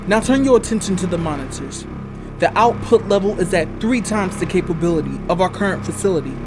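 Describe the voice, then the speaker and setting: A man's voice: high voice, lively intonation, brisk, over music. A man playing an ambitious, volatile scientist in an old-time radio drama, speaking in a formal, theatrical, grandiose way. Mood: proud, showy.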